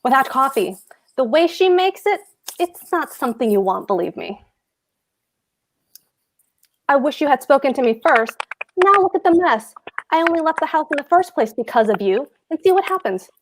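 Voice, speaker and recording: A woman's voice: high-pitched, big pitch swings, normal speed, pauses often, a little hiss or hum. A woman playing a pompous, boastful German landlord and husband in a table read of a play. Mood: bossy, blustering. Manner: pompous, emphatic.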